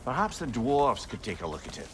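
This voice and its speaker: A man playing a wry, excitable older companion in a video game, with a colourful way of talking. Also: mid-range voice, fairly quick. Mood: exasperated. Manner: colourful, exclamatory, animated. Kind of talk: video game cinematic acting. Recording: loud game noise over the voice.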